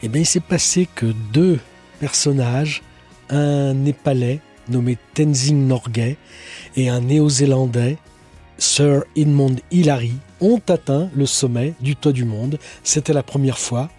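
A man's voice: mid-range voice, unhurried, dark tone, husky, soft background music. A man co-hosting a radio show, telling stories and asking questions conversationally. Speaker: radio co-host and columnist. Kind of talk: conversational storytelling and interviewing. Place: radio studio. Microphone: studio microphone.

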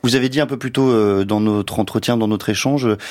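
A man hosting a measured radio interview, putting questions in a careful, articulate way. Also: fairly low pitch, steady tone, darkish tone, no room sound.

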